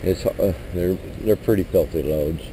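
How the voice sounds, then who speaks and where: A man, a truck driver, talking casually and rambling as he walks around his flatbed load outdoors, recorded on a body-worn camera. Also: deep voice, moderate pace, a little hiss or hum, low-quality recording. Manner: rambling, plain-spoken, mild cursing. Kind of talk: casual walkaround vlog. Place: outdoors at a truck lot beside a flatbed trailer. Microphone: body-worn action camera.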